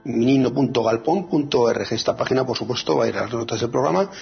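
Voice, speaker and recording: A man with a gravelly, husky voice talks casually on a radio show about free software. He hesitates often, filling gaps and restarting his sentences. The recording is dull and lo-fi. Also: medium pitch, quick pace, faint music behind. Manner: hesitant, many fillers and restarts.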